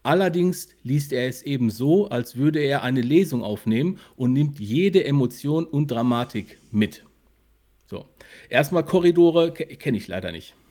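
A man's voice: mid-range voice, expressive, a little slow, warm tone. A man co-hosting a podcast in casual chat, often searching for words and repeating himself. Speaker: podcast co-host.

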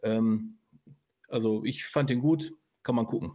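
A man's voice: fairly low pitch, unhurried, mellow. A man co-hosting a podcast, talking casually in colloquial, slangy language. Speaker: podcast co-host. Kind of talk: casual chat about audio dramas and films.

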